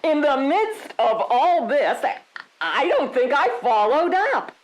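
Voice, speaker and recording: A woman's voice: high voice, very animated, takes it slowly, slight background noise, exaggerated voice. A woman playing a prim, chatty and evasive suburban committee member giving testimony in an audio drama, with an airy, slightly haughty formal manner. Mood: chatty, coy.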